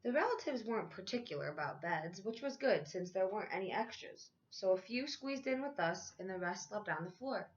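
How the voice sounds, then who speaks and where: A woman teacher reading a children's picture book aloud in a measured, expressive storytelling way, stretching out key phrases. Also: medium pitch, muffled recording.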